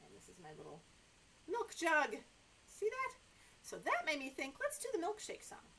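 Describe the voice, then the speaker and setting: A middle-aged American woman reading and chatting to toddlers as a story time host, in an animated, exaggerated way, recorded indoors by a camera a short way in front of her. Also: sits high, moderate pace, a little hiss or hum. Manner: animated, exaggerated expression for young children. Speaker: children's librarian hosting story time. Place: small indoor room with sofa.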